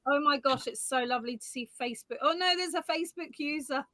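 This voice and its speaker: Relaxed chatty woman with a sore throat. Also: sits high, fast, dry recording, clean studio sound. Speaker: co-host, psychic reader. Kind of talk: casual live-stream chat.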